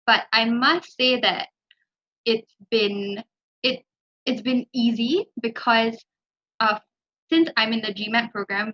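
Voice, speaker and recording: A young woman who recently started as a graduate trainee, talking in a relaxed, conversational way from home on a video call. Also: on the high side. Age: young adult. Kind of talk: casual panel talk. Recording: slightly muffled video call audio.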